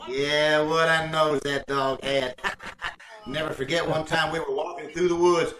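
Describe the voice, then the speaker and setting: A man acts out a folksy radio-comedy braggart with a high-pitched voice. He blusters and stammers through his boasts. The sound is echoey and distant, with some noise of a video call. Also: flat delivery, unhurried, lightly acted. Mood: boastful. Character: folksy, boastful, bumbling husband spinning tall tales. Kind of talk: old-time radio comedy acting. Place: indoors on a live Zoom call.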